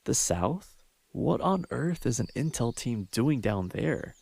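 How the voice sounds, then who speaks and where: A man playing an anxious, soft-hearted young healer in an anime audio drama, with an emotional, hesitant delivery. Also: mid-range voice, sing-song, takes it slowly, creaky, breathy edge, dry recording, full character voice. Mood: puzzled, uneasy.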